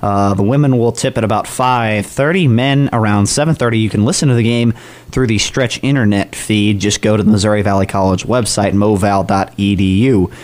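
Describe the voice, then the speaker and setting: A man's voice: lowish voice, steady tone, brisk, darkish tone. A man hosting a college radio morning show in upbeat, chatty DJ patter with emphatic openings.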